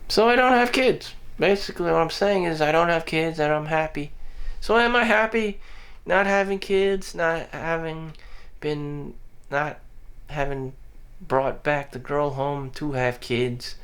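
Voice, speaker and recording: Rambling man with a higher voice. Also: measured pace, fairly bright tone. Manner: meandering, frequent "you know" fillers and restarts; blunt, swears casually. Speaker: vlogger. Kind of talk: rambling personal monologue. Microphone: audio-only recording.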